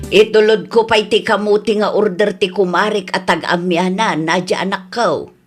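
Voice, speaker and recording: A mid-pitched older Ilocano mother, played by a woman, scolding and reproachful. She admonishes in a motherly way, as in a radio comedy drama. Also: steady tone, normal speed, loud music behind the voice, natural voice. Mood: everyday. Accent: Ilocano Filipino.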